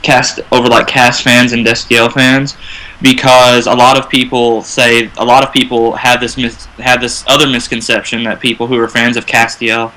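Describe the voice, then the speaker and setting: Man, average pitch, flat intonation, sharp bright timbre, informal panel chat. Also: normal speed, low-quality recording. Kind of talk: casual fan discussion.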